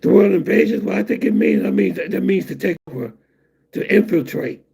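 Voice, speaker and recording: A man's voice: sits high, a little fast, creaky, dark tone, breathy edge. A middle-aged man from the United States hosting a casual livestream and rambling loosely to his viewers. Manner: loose, rambling, laughs at his own jokes. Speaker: livestream host.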